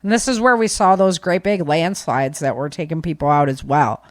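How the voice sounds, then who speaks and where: A woman's voice: deep voice, normal speed, dry recording, studio quality. A woman podcast host casually reading through disaster news, with informal, chatty asides to listeners.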